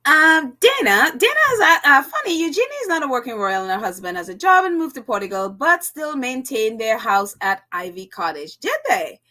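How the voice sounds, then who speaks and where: A woman with a Caribbean accent hosts a casual livestream and reads out viewer comments. Her voice sits high and sounds bright and crisp. She laughs a lot and fills pauses with ums. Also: very animated. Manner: chatty, laughs often, many fillers. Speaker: channel host reading live chat.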